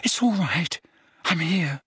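A man voicing a frightened teenage boy wizard in an audiobook, urgent and coaxing with a strained, breathy voice. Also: on the high side, animated, unhurried, pushed and bright, dry recording, studio quality, voice pushed up, heavily acted.

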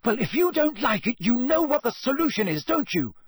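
A man voicing a fiery, indignant teenage girl in a storybook, sharp and scolding. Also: very high voice, sing-song, brisk, slightly rough, dry recording, voice pushed up, exaggerated voice. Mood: furious.

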